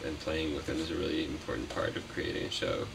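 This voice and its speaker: A man being interviewed as a puppeteer, chatting casually in a halting, rambling way that often trails off. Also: extremely low pitch, vocal fry. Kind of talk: casual unscripted interview chat. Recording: noisy, distant mic.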